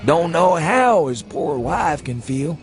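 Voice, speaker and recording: A high-pitched old rural New England man, rambling and insistent as he nurses a dreadful secret. He drags his words out in a folksy drawl, full of dread, in a radio horror tale with faint music behind him. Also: sing-song, takes it slowly, full character voice. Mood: maudlin, confiding. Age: older. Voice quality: shrill when agitated. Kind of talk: dramatised horror reading for radio.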